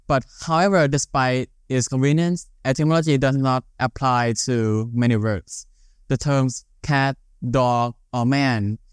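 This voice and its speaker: A young man in his late teens with a Thai accent, explaining word roots in a steady, scripted podcast delivery. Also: medium pitch, smooth, dry recording, studio quality.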